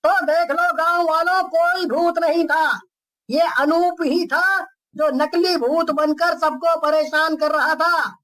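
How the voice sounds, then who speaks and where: A woman's voice: very high voice, fairly even intonation, slow, fairly bright tone, heavily acted, poor audio. A woman voicing a bold, skeptical male village shopkeeper, confident and challenging, in a cartoon story. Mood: triumphant scolding.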